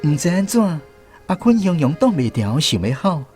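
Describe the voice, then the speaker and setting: A man's voice: fairly high pitch, big pitch swings, moderate pace, a little mellow, faint music behind. A man with a Taiwanese accent narrating a radio drama as a storyteller.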